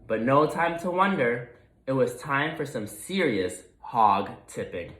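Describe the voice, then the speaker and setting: A man's voice: medium pitch, very animated, measured pace, fairly bright tone. A man narrating a children's picture book expressively as a read-aloud storyteller, in a roomy recording.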